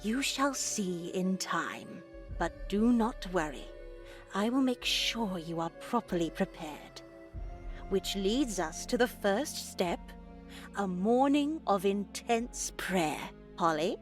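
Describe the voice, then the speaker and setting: An older nun preaches with formal, unhurried diction. She is grandly pious and a little too zealous, like a horror game's holy matron. Faint music plays behind her. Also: medium pitch, animated. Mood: soothing, patronizing. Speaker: voice actor in a visual novel. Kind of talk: dramatic video game acting.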